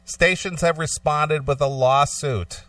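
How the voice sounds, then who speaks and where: A man's voice: a little high, slow, fairly bright tone, dry recording, recorded from a distance. A man co-hosting a radio talk show, reading out news items and adding casual commentary.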